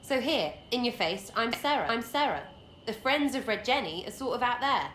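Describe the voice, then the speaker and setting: A woman's voice: on the high side, animated, normal speed, fairly bright tone. A woman playing a cheeky young English commoner rogue in a video game, slangy, blunt, mocking and rambling. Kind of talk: video game dialogue acting. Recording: roomy echo, faint background noise.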